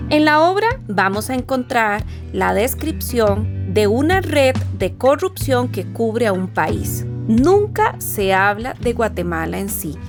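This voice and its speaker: A woman giving a scripted, formal, didactic narration as presenter of an educational literature programme. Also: fairly high pitch. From Costa Rica. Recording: voice over background music. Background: music.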